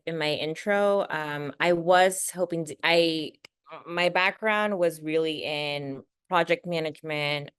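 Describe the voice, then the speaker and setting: An American woman with a low, smooth voice talks as a panelist. She sounds upbeat and earnest, and the sound is dry and close. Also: dry recording. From California, USA. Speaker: panelist, business school alumna. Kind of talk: conversational panel answers about own experience.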